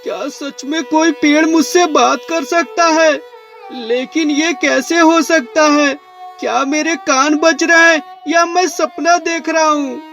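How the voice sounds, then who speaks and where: An actor playing a poor, anxious village farmer in a moral story cartoon, speaking in a plaintive, dramatic way. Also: male voice, very high-pitched, fairly even intonation, slow pace, fairly bright tone, exaggerated voice. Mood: startled wonder. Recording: music behind the voice.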